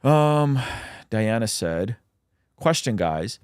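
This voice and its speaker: A man's voice: fairly low pitch, expressive, very slow, darkish tone, smooth, no echo, clean studio sound. A man, a native Russian speaker, hosting a casual livestream where he reads out chat questions and answers them with plenty of ums.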